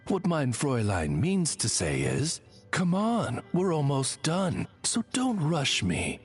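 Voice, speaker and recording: A man's voice: on the low side, sing-song, a little slow, faint music behind. A man playing a dignified raven familiar in an anime game, a courteous, deferential interpreter with formal speech. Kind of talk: English-dubbed anime game acting.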